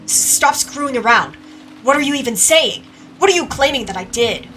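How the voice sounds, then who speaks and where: A woman's voice: on the high side, lively intonation, a little fast, pushed and bright, far from the mic, faint music behind, pitched above the performer's own voice, low-quality recording. A woman playing an angry, panicked male writer on the verge of tears. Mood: angry, defensive.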